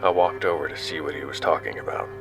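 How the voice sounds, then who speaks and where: Sci-fi audio drama narrator: male actor as self-deprecating explorer, very low register, conversational storytelling over soft music. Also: steady tone, fast. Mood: hushed wonder. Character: young male explorer recounting his expedition, awed and casual. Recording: music under the voice.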